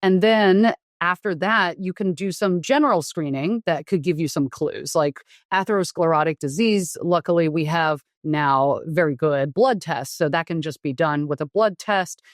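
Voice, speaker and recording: Frank, fairly low-voiced woman doctor. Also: moderate pace, hardly any pauses, no echo, clean studio sound. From Taiwan. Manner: frank, explanatory, with frequent fillers and restarts. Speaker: doctor and guest. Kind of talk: conversational interview.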